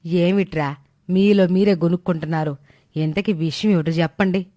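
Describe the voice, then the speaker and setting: A woman voicing a stern but soft-hearted older village mother in a moral story, scolding in colloquial rustic speech. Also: on the low side, steady tone, moderate pace, pitched below the performer's own voice, close to an everyday voice. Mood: suspicious. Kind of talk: animated moral story dialogue.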